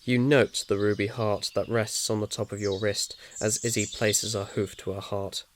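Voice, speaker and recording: A man's voice: fairly low pitch, level tone, normal speed. A man reading scripted second-person story narration in an ASMR style. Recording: faint noise with birdsong behind.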